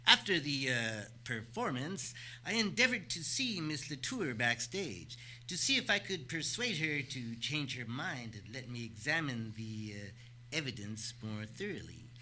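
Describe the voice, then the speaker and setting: An actor in an old-time radio play, playing a flustered, formal older gentleman who speaks hesitantly and fussily. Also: mid-range voice, big pitch swings, measured pace, a little hiss or hum, full character voice. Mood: formal recounting. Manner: hesitant, formal, fussy speech. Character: flustered, proper older bearded gentleman, pleading and pedantic.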